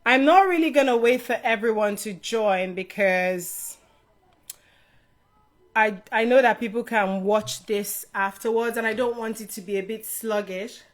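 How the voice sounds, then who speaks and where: A woman's voice: on the high side, measured pace. A middle-aged woman from Nigeria hosting an informal live Q&A, chatting directly to her viewers as a career adviser. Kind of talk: casual live stream Q&A chat to viewers.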